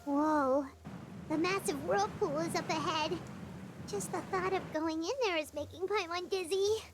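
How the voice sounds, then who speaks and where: A woman voicing a tiny, childlike floating girl companion in a video game, excitable and earnest with a squeaky voice. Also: unhurried, background noise. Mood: awed, uneasy. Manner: speaks of self in third person. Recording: loud music behind the voice.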